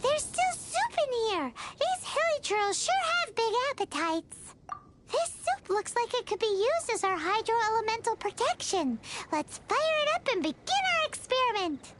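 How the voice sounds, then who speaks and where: A woman voicing a tiny, excitable fairy girl companion in a video game, with a childlike, squeaky, chirpy delivery. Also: normal speed, noisy background. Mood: excited. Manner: chirpy, exclamatory, refers to herself by name. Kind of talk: video game character acting.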